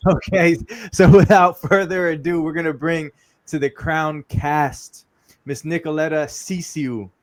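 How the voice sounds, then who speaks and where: A man's voice: on the high side, steady tone, measured pace, no room sound. A man co-hosting a podcast, introducing a guest in an upbeat, easygoing way with casual slang. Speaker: podcast co-host.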